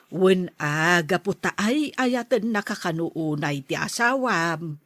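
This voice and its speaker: A woman's voice: fairly low pitch, animated, slow pace, a bit bright, no room sound, clean studio sound, heavily acted. A woman acting a doting older mother, gossipy and watchful, in an Ilocano-accented radio drama. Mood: gossipy, teasing.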